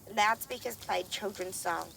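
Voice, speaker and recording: Brief: woman, gravelly mid-pitched voice, testimony reading, distant and noisy recording. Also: normal speed.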